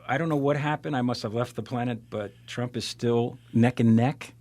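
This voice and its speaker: A man speaking conversationally as co-host of a bantering comedy talk show, playful and deadpan. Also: mid-range voice, moderate pace.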